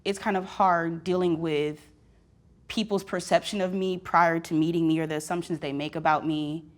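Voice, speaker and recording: Confident young woman, lowish voice. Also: fairly even intonation, moderate pace. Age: 20s. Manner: confident, proud. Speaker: participant. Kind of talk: candid conversation.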